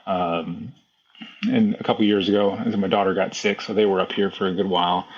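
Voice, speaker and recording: A man in his thirties from Brazil, a podcast guest talking casually about running a repair shop, relaxed and repeating himself, often saying "man". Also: deep voice, normal speed, husky, highs cut off. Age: 30s. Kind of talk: casual conversation.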